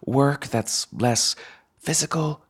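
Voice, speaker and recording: An earnest young woman from a storybook, played by a male narrator. She sounds nervous and keen, a touch hesitant. Her voice sits higher than the reader's own, with a husky grain. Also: takes it slowly. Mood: pleading. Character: eager, nervous young woman starting a new job.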